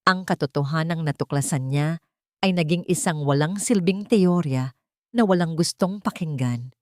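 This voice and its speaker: A woman with a Filipino accent reading a dramatic romance story in a measured, solemn way. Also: low voice, no room sound, studio quality. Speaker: story narrator.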